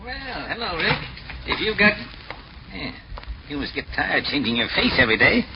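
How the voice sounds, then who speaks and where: Streetwise cop, gravelly, animated, slangy, blunt, old noisy radio drama. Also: male voice, on the high side, moderate pace, lo-fi sound. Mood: wry. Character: gruff, streetwise police lieutenant, loyal old friend. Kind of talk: 1940s radio acting.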